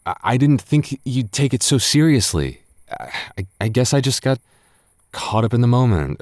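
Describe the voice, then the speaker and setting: A low, close and dry voice: a man playing a tipsy young male roommate in a boyfriend roleplay audio. He talks casually but stammers and gets defensive, hesitating as he hides his crush. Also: a little mellow, dry recording. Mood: embarrassed, defensive.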